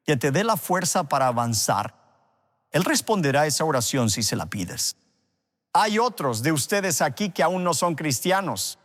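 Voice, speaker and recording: Man preaching in a very reverberant hall. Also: on the high side. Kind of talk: sermon with an altar call and prayer.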